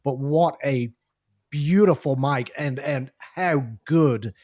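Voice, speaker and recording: A man's voice: medium pitch, takes it slowly, a little mellow, no room sound. A male host with a mid-range voice, speaking knowledgeably and doubling back on his words now and then. The sound is dry, with no room in it. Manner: knowledgeable, repeats words as he talks. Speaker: show host. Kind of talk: casual chat on a live show.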